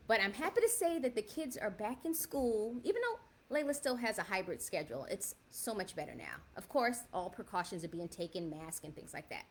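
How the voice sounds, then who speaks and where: Chatty high-voiced woman in her fifties. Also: slight background noise. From South Bronx, New York. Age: 50s. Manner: chatty, keeps asking viewers "right?". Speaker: livestream host. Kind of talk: casual livestream talk to viewers.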